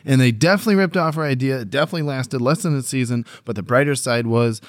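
A man's voice: mid-range voice, fast, no room sound, studio quality. A man speaking as a guest on a comedy podcast, chatting and joking casually.

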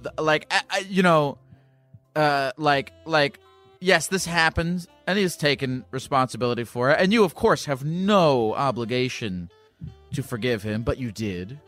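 A man hosting a casual call-in podcast, asking halting questions full of restarts and fillers. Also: medium pitch, a bit bright, soft background music. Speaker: podcast host.

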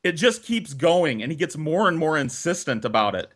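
A man in his thirties telling a personal story for laughs on a podcast, animated and dramatic, with swearing. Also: on the high side, normal speed. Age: 30s.

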